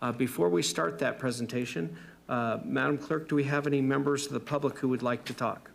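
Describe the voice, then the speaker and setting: A man who is mayor chairing a city council meeting, speaking in a halting way with many filler sounds. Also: medium pitch, fairly even intonation, gravelly.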